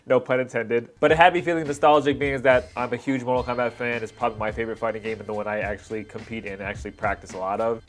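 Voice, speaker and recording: A man in his thirties giving casual reaction commentary as a video host, talking like an enthusiastic fan with slangy asides. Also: medium pitch.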